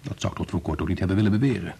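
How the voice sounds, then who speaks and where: An actor playing a blunt, sardonic, irritable husband in a Dutch radio drama. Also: male voice, deep voice, very fast, creaky, dark tone, husky, exaggerated voice. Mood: irritated, sarcastic.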